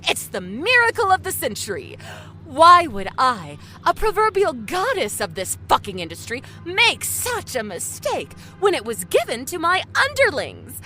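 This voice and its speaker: A woman's voice: sits high, huge pitch swings, measured pace, pushed and bright, heavily acted. A woman acting in an audio drama as a vain, foul-mouthed, ruthless TV executive, theatrical and sarcastic. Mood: exasperated, furious.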